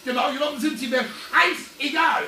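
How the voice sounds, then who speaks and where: A cornered, exasperated man in a radio drama. His voice is high and tense, whining and defiant. He sounds roomy and away from the microphone. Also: moderate pace, pushed and bright, recorded from a distance, slight background noise, exaggerated voice. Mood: petulant, defiant. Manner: petulant, blustering, agitated. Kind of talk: German radio drama acting. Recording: echoey, off-mic, faint music.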